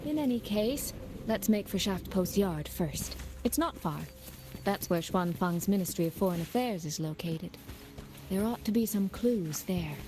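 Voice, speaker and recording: An actress voicing a composed, shrewd woman in a video game, speaking in a measured, lightly playful way. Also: medium pitch, lively intonation, natural voice. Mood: calm, observant. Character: composed, shrewd adult woman, well-prepared former trader. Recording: loud background music. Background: game music.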